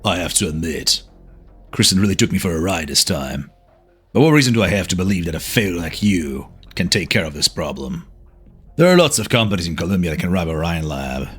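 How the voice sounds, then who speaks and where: A man's voice: deep voice, sing-song, brisk, a bit bright, slightly rough, heavily acted. A man playing a cynical army colonel in a game, with a sardonic, grumbling delivery. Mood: scornful, irritated. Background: faint music.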